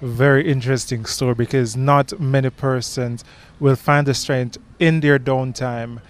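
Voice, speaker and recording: A man's voice: medium pitch, steady tone, measured pace. A man with a Jamaican accent asking questions as a radio reporter conducting an interview.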